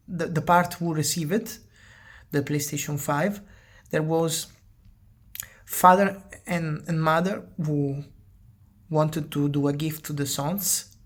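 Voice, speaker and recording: A woman with an Italian accent, speaking English as a second language, hosts her own channel in casual commentary, hesitant and often repeating words as she restarts phrases. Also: low voice. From Italy. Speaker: channel host.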